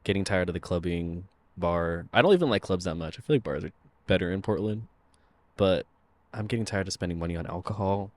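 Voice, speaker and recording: A man's voice: sits low, a little fast, darkish tone. A man in his twenties co-hosting a podcast, chatting in an easygoing way with a lot of "like". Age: 20s. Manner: chatty, easygoing, many fillers. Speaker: podcast co-host. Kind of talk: casual chat.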